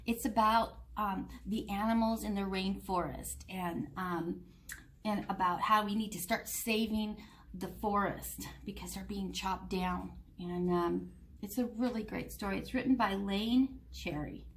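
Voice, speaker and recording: A woman reading a picture book aloud to children, with gentle, simple explanations along the way. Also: medium pitch, fairly even intonation, measured pace, slight background noise. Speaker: children's story reader.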